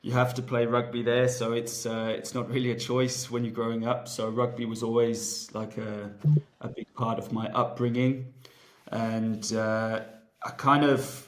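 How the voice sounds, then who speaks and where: Male coach, 30s, level even delivery, loose and chatty. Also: medium pitch, unhurried, slight background noise. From Wales. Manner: relaxed, many fillers and restarts, thinks aloud. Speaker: rugby coach, guest. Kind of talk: casual interview, long rambling answers.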